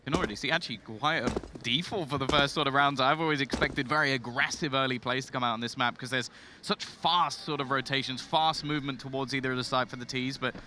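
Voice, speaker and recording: A man calling an esports match play by play, energetic and rising to shouts on big plays. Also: mid-range voice, fast, background noise. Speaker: esports caster. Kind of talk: play-by-play commentary.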